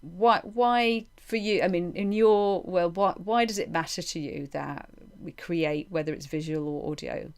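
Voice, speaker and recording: Female podcast host, lowish resonant voice, thoughtful conversational questioning with halting, circling phrasing. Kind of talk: reflective interview conversation.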